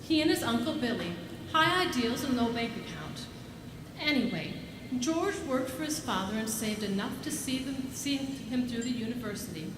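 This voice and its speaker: A woman playing a brisk, kindly senior angel in a live radio play, explaining things with authority. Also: on the high side, animated, natural voice. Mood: explanatory. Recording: echoey room with clear background noise.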